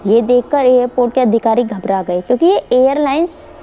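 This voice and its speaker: A woman's voice: on the high side, animated, moderate pace, warm tone, dull audio. A woman narrating a mystery story for an online channel, informally addressing her listeners as host. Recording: faint background noise.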